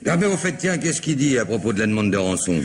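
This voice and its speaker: An actor in a French radio mystery play voicing a brisk, familiar senior police detective with a bossy, wryly self-mocking manner. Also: male voice, mid-range voice, sing-song, slight background noise, lightly acted. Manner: conversational, bossy, wry.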